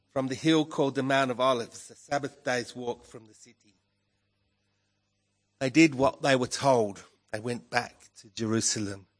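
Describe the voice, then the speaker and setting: A pastor delivering a sermon in a croaky voice, measured and emphatic, rising on key words. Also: male voice, medium pitch, fairly bright tone. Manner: slow, measured preaching with emphatic rises. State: hoarse, croaky voice with a cough.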